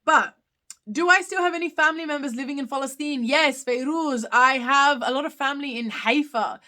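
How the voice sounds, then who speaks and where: A woman's voice: high voice, brisk, bright, forceful tone. A young woman with an Australian accent with slight British touches, hosting a casual live question-and-answer chat with many ums and self-corrections. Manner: chatty, rambling, frequent ums and self-corrections. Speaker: live stream host.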